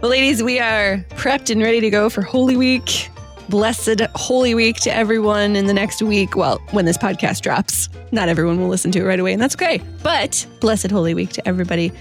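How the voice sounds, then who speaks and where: A woman's voice: mid-range voice, fairly quick, faint music behind. Informal chatty podcast lady, mid-range voice, casual talk and announcements. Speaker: podcast host.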